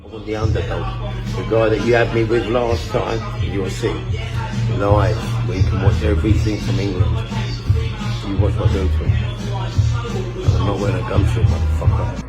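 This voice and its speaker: A man's voice: fairly low pitch, steady tone, normal speed, poor audio. A fighter man threatening his opponent in an aggressive, profane trash-talk promo. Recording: music bed behind voice.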